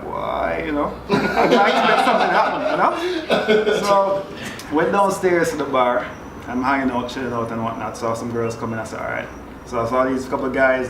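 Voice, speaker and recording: A man from Jamaica, a guest in a group talk, tells a story in a laid-back way and laughs easily. His voice sits at a middle pitch. It sounds roomy and a bit distant, with audible background noise. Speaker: panel guest. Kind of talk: casual storytelling in a group chat.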